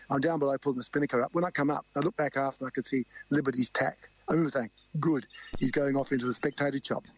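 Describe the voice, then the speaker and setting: Loud man telling a sailing tale. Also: mid-range voice, no echo, muffled recording. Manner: animated, vivid storytelling with quoted crew talk. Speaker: sailing crew member guest. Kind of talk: storytelling interview.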